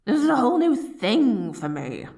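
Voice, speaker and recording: A woman's voice: on the high side, very animated, moderate pace, warm tone, no room sound, clean studio sound. A woman playing a haughty, dorky evil queen in a scripted ASMR roleplay, swinging between grandiose proclamations and flustered stammering. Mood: dramatic, nervous. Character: dorky, theatrical evil queen, haughty yet secretly smitten.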